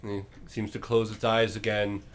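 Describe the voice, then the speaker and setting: A man working as game master, improvising descriptive narration for a tabletop game in a halting way. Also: lowish voice, level tone.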